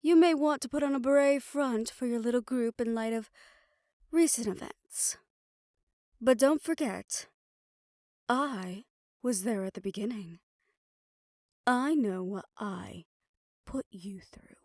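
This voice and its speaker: Woman acting a mellow, softly teasing reformed villainess doctor. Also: a little high, slow pace, long pauses, no room sound, studio quality. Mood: concerned, probing. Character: reformed obsessive female doctor, teasing, probing, quietly caring. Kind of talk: fantasy audio roleplay acting.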